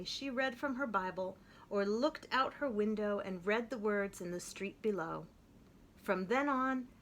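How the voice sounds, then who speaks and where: Woman, children's storybook read-aloud, expressive, emphatic slowed phrases, mid-pitched voice. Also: a little hiss or hum. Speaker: children's book reader.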